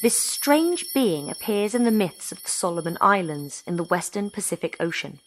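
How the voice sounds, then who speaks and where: A woman's voice: mid-range voice, big pitch swings, normal speed, slight background noise, close to an everyday voice, low-quality recording. An actress playing a woman who reads aloud from a reference text in an audio drama. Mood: absorbed.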